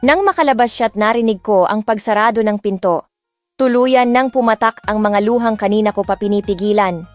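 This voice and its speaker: A woman's voice: medium pitch, brisk, no echo. A woman with a Filipino accent narrating a romance audiobook in the first person, telling it with restrained emotion. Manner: emotional, restrained storytelling in Tagalog and English. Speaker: narrator and voice of the heroine. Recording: background music under the voice.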